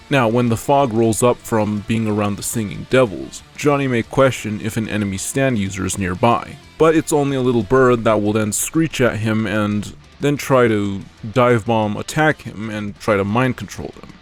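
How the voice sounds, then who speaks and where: A man reading a scripted explainer narration as a video narrator. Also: fairly low pitch.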